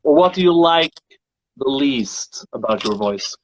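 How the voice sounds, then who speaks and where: A male singer hosting a casual chat about voices, speaking thoughtfully and halting often to find his words. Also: medium pitch, lively intonation, a little hiss or hum, low-quality recording. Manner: thoughtful, halting, repeats words while searching. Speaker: singer and show host. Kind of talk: casual conversation about singing.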